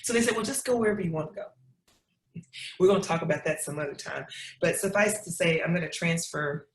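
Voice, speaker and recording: A woman's voice: lowish voice, a little fast, a little hiss or hum. A middle-aged woman from Knoxville, telling stories to an audience in an emphatic, preacherly, repetitive way. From Knoxville, Tennessee. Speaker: guest speaker. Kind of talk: storytelling talk. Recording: roomy echo.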